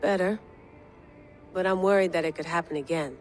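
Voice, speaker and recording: A woman's voice: fairly low pitch, moderate pace, natural voice. Actress as troubled woman operative over music. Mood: uneasy, earnest. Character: troubled, guarded modern-day woman field operative. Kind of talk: naturalistic video game acting. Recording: music playing behind the voice.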